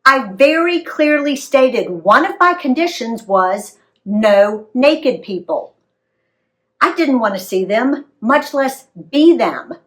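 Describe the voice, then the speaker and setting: Very expressive, unhurried woman storyteller. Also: a little high, rough recording. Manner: dramatic, animated delivery with emphatic dialogue. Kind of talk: expressive read-aloud story narration for young listeners.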